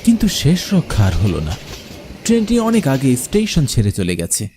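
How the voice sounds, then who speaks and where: A man's voice: medium pitch, wildly swooping pitch, normal speed, darkish tone, a little hiss or hum. A man narrating a scripted horror story in the first person, in a dramatic, suspenseful manner. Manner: suspenseful, theatrical.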